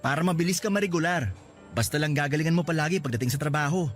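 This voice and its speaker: A man's voice: a little high, rapid-fire, faint music behind, close to an everyday voice. A man playing a cheeky, friendly young co-worker who jokes at his own expense in radio drama dialogue. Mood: boastful, teasing. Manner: playful, teasing, animated. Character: cheeky, friendly male co-worker, self-mocking joker. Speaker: drama voice actor.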